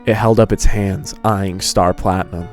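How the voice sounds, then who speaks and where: A man's voice: deep voice, fairly even intonation, normal speed. A man reading scripted fan fiction as the narrator of a dramatized story. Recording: music behind the voice.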